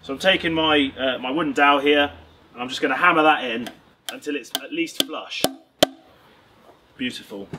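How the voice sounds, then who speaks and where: Bright upbeat British man teaching. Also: mid-range voice, moderate pace, pauses often, slight background noise. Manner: upbeat, clear instructional delivery. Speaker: presenter. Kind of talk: step-by-step tutorial. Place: outdoors in a garden and woods.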